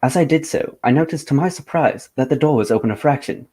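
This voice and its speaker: A man plays a gentleman detective in a radio drama. He has a mid-range male voice and speaks with polite formality. His delivery is composed and measured, as if laying out a case. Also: medium pitch, slightly rough, close to an everyday voice. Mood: earnest recounting.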